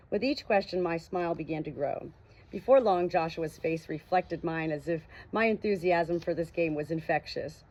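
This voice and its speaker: A woman's voice: fairly low pitch, warm tone. A woman reading a first-person novel aloud as an audiobook narrator. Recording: dull, muffled lo-fi audio.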